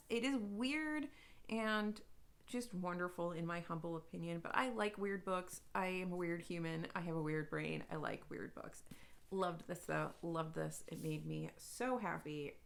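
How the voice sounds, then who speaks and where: A woman's voice: lowish voice, measured pace, creaky. Gushing, chatty woman. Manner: gushing, informal, emphatic, self-deprecating. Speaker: book reviewer, video host. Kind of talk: casual chatty book vlog.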